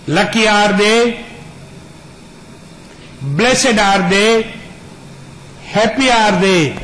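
High-voiced guy teaching spiritual stuff real slow, lists things over and over, bit of noise behind. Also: fairly bright tone, poor audio. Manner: unhurried, builds long repeated lists. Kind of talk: spiritual discourse to an audience.

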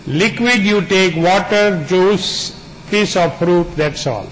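A man's voice: high voice, takes it slowly, fairly bright tone, slight background noise, low-quality recording. A man teaching a spiritual discourse in an earnest, plain way, building long repeated lists and simple images.

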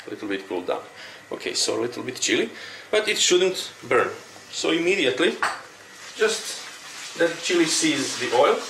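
A man with a Croatian accent giving an informal cooking demonstration, explaining things in a halting way. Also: mid-range voice, fairly bright tone. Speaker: cooking instructor. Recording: roomy, distant mic with clear background noise.

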